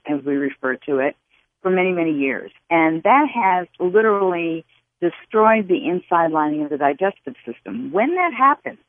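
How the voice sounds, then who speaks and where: A woman guest expert giving health advice to callers on a call-in radio show, explaining in a steady, instructive way. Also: on the low side, dry recording. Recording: phone-line sound.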